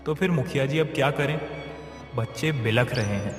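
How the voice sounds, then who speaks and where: A man's voice: medium pitch, moderate pace, natural voice. A man voicing a distressed, respectful village man in a cartoon, earnest and pleading. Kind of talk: Hindi moral story cartoon dub. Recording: echoey reverb with clear background music.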